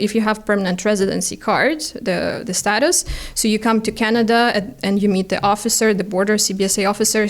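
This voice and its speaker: Woman immigration consultant, Ukrainian accent, explanatory podcast guest, laughs between points, mid pitch. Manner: explanatory, laughs often, non-native phrasing. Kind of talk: podcast interview answers.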